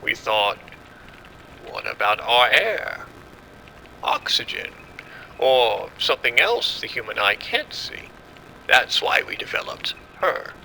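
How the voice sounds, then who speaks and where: Man acting as confident scientist, very bright sharp voice. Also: mid-range voice, big pitch swings, takes it slowly, vocal fry, pauses often, a little hiss or hum, heavily acted, low-quality recording. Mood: assured, explanatory. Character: confident male scientist running a secret military experiment. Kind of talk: audio drama acting.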